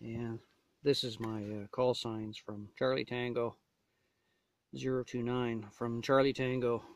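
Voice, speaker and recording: A man's voice: mid-range voice, little pitch movement, unhurried, no room sound. A man casually demonstrating gear as a reviewer, talking in short, hesitant phrases. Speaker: gear reviewer showing a radio install.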